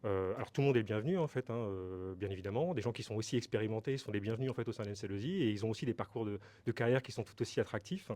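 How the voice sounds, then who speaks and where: A man's voice: fairly low pitch, very fast, hardly any pauses, a little mellow. A middle-aged man from Brittany, France, answering interview questions as a company director, explaining hesitantly with many fillers and repeated words.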